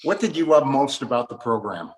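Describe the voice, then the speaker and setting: Male interviewer with a mid-pitched voice. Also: big pitch swings, fairly quick. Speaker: interviewer, host. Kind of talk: interview hosting.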